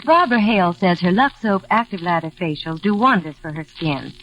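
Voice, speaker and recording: A woman's voice: fairly low pitch, lively intonation, brisk. A woman delivering a scripted advertisement in 1940s radio style. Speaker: advertisement announcer. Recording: muffled vintage radio recording, faint noise.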